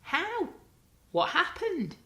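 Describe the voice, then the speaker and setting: A woman's voice: extremely high pitch, sing-song, very slow, sharp tone, voice pushed up, exaggerated voice. A woman voicing a timid, loyal little female Christmas decoration in a storybook reading. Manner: nervous, breathy whispering. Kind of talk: storybook read-aloud. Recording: roomy echo.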